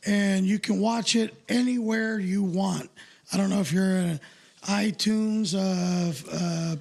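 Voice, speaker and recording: A man co-hosting a comedy podcast with a hoarse, raspy voice, chatting loosely and crudely. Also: high-pitched, fairly even intonation, slow pace, darkish tone. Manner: loose, crude, joking banter. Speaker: podcast co-host. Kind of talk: casual comedy podcast chat.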